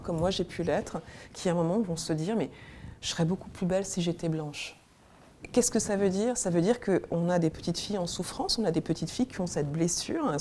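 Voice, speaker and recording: A woman's voice: on the low side, mellow, slight background noise. A woman from Congo, a senior civil servant, speaking earnestly as a guest in a studio discussion and searching for words as she goes.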